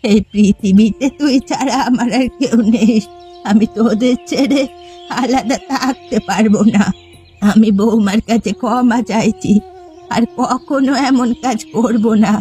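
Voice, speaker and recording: A woman playing a jealous, accusing elderly village mother-in-law in a melodramatic cartoon, with slow, emphatic delivery. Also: on the high side, warm tone, soft background music, lightly acted. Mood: remorseful, pleading. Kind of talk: melodramatic cartoon family comedy acting.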